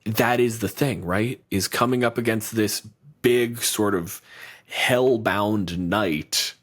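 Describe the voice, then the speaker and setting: A man's voice: lowish voice, takes it slowly. A man co-hosting a podcast in casual chat, blunt and profane, riffing with lots of energy. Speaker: podcast co-host.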